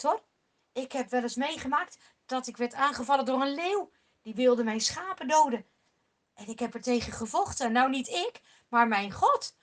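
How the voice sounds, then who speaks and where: A woman speaking as a brave young shepherd. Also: high-pitched. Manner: earnest, determined.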